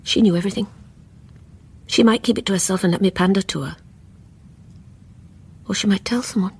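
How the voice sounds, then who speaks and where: An obsessive, guilt-ridden English woman for radio drama. Her voice is markedly husky and breathy, often sinking towards a whisper. She speaks as if confessing, with brooding intensity. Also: mid-range voice, fairly quick, darkish tone. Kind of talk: radio drama acting with intimate first-person narration.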